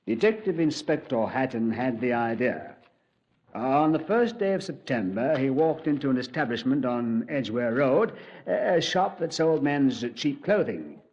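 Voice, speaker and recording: A composed senior police commander who narrates and questions in a measured, clipped way. His voice sits in a plain middle pitch. He is heard on a dull, muffled old radio recording. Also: expressive, darkish tone. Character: calm, authoritative male senior police commander. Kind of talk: 1950s radio drama acting.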